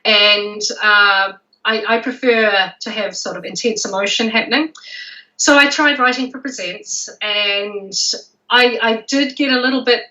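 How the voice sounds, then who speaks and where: A woman's voice: mid-range voice, steady tone, pushed and bright, distant mic, lo-fi sound. A woman, a romance author, talking casually on a video call and often restarting her sentences. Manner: halting, many restarts and repeated words. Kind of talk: casual livestream chat.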